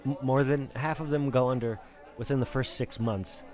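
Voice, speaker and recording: A man's voice: medium pitch, steady tone, normal speed, a little mellow, a faint crowd, lightly acted. A man playing a fussy, nervous accountant on the run, stammering and politely persistent in an acted film script read. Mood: earnest insisting. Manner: hesitant, stammering, earnestly nagging.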